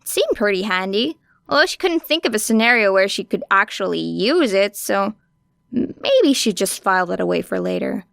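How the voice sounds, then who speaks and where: A woman's voice: mid-range voice, very animated, moderate pace, gravelly, a bit bright, dry recording, studio quality. A woman reading a story expressively as an audiobook narrator. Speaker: audiobook reader. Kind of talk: expressive scripted fiction narration.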